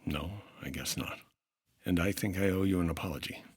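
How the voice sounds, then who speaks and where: Man playing radio-drama detective, lowish husky voice, brusque, clipped, probing, decent underneath. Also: a little fast, no echo, studio quality. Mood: contrite. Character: brusque, hard-boiled 1950s insurance investigator, exasperated but decent.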